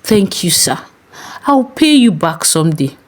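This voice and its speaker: A woman's voice: on the low side, sing-song, a little slow. A woman voicing an earnest, polite young female student in a story, with a Nigerian accent. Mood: grateful.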